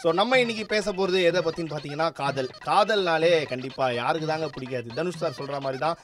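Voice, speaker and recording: A young man hosting a comic radio phone-in in a chatty, excitable, mock-formal way. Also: on the high side, fast talker, a little hiss or hum. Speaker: radio show host.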